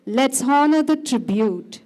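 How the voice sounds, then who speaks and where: An earnest woman announcer speaks. She reads formal lines at a deliberate, unhurried pace. Her voice sits slightly high. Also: expressive, a little mellow. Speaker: school event announcer.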